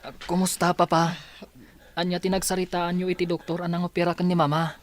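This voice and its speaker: Woman as worried earnest youngster, slightly low pitch, family radio drama acting. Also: level tone, brisk, a little hiss or hum, close to an everyday voice. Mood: anxious, hopeful. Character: earnest grown child worried about a parent in hospital.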